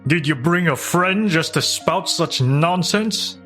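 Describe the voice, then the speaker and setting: A man's voice: on the high side, slow, bright, forceful tone, faint music behind. A man playing a controlling, scornful older husband in a manga dub, blustering as he speaks. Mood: haughty, demanding. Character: controlling, arrogant older husband, a retired teacher. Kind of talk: acted manga dub dialogue.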